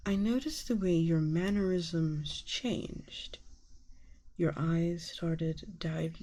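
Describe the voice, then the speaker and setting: A woman playing a soft-spoken, probing therapist in an ASMR roleplay, breathy and often whispering, soothing and deliberate with pauses. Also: fairly low pitch. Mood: probing, gentle.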